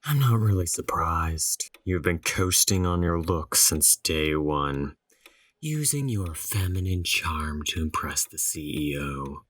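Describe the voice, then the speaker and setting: A stern male boss in an audio drama, with a low voice and a close, dry sound. He lectures and scolds in a condescending way. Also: expressive, takes it slowly, fairly bright tone, no echo, heavily acted. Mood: stern, scolding. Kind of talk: audio horror drama acting.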